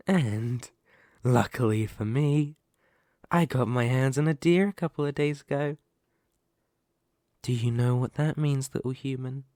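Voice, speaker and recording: A man's voice: medium pitch, a little mellow, no echo, studio quality, lightly acted. A man playing a sadistic, predatory lamia in an ASMR horror roleplay, taunting and menacing. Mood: sinister, gloating. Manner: taunting, menacing, slow deliberate delivery with gloating lilts. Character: sadistic, predatory male lamia, half-snake monster toying with prey.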